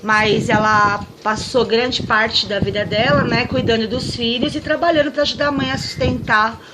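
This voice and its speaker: A woman asks gentle, prompting questions in a casual interview. Her voice sits slightly high. Clear background noise hums under the recording. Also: fairly even intonation, normal speed. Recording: noisy recording.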